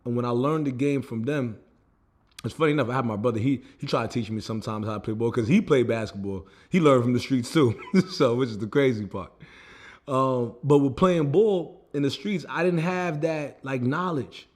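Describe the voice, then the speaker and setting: A young American man talking straight to camera about his own setbacks. His delivery is loose and conversational, full of fillers and restarts, at a mid-range pitch. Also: moderate pace. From United States. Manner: loose, conversational, full of fillers like "you know what I mean". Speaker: vlogger. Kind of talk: casual personal storytelling.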